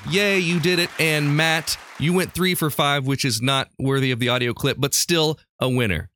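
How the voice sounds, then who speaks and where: A man's voice: fairly high pitch, steady tone, normal speed, pushed and bright, dry recording. A man hosting a casual podcast quiz, chatting and bantering as quizmaster.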